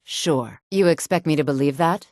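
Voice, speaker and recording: A woman's voice: deep voice, moderate pace, a little mellow, no room sound, studio quality, close to an everyday voice. An actress voicing a sultry, confident fantasy townswoman for a video game, flirtatious, cool and knowing. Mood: businesslike, cool. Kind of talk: scripted video game voice lines.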